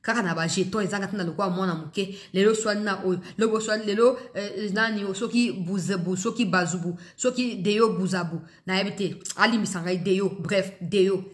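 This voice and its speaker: A woman's voice: medium pitch, normal speed, few pauses. A woman with a Congolese accent hosts political news commentary, addressing viewers directly in an emphatic, exhorting way, repeating phrases for stress and switching between two languages mid-sentence. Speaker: host of an online political news commentary show.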